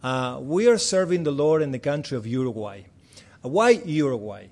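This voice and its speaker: A man's voice: mid-range voice, animated, normal speed, a little mellow. A man in his forties from Argentina with an Argentine Spanish accent, a native Spanish speaker giving a friendly, chatty missions report to a church with light self-deprecating humor.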